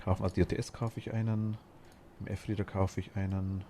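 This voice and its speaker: Mellow Bavarian man rambling casually. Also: on the low side, level tone, brisk, a little hiss or hum. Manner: rambling, murmurs quietly to himself while shopping. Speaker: streamer. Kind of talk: casual gaming chat.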